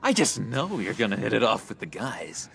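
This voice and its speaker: An actor playing an eager, unhinged young schemer in a video game, halting and wheedling with sinister warmth. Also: male voice, lowish voice, wildly swooping pitch, gravelly, full character voice. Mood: gleeful. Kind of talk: video game character acting.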